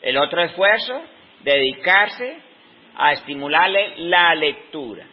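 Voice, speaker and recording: A Colombian man in his fifties, giving an unscripted talk on teaching with slow, reflective pauses in the middle of his sentences. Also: a little high, lively intonation, slightly rough. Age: 50s. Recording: dull lo-fi recording.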